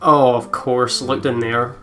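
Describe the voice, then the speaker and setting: A woman with a distinctly low voice chats casually as a let's play co-commentator. She is informal, teasing and sweary, with clearly audible music behind her. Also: steady tone, slow. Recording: loud background music behind the voice.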